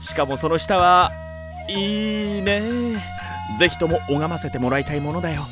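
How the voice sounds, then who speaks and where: A man's voice: a little high, normal speed, natural voice. An actor playing a playful, lecherous middle-aged demon king in an anime audio drama, with flowery, teasing talk. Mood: gleeful, flirty. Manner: smooth-talking, flowery, teasing. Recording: music behind the voice.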